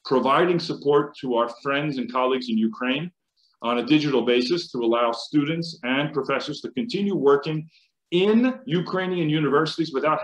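Hesitant American man on a video call. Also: medium pitch. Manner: hesitant, many fillers and restarts. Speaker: panelist, chemical engineering professor. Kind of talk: conference panel remarks. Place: home office. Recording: roomy video call audio.

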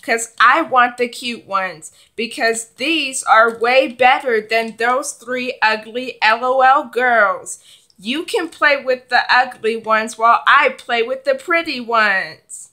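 A woman's voice: on the high side, slow pace, pushed and bright. A woman voicing a bossy, selfish older sister, a young girl, in a children's doll play skit. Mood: annoyed, dismissive. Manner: bossy, dismissive.

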